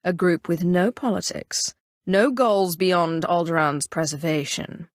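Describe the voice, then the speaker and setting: An imperious female Sith inquisitor from a video game, acted by a woman, speaks in a fairly low register. She is cool, calculating and haughty, giving formal, measured lines like someone used to command. The sound is very dry, with no room on it. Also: no echo, lightly acted. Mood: cool, assertive. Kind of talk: scripted video game character dialogue.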